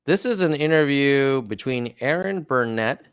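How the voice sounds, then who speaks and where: A man's voice: mid-range voice, expressive, unhurried, smooth, dry recording. A man hosting a casual news commentary show, talking in a chatty way with offhand asides.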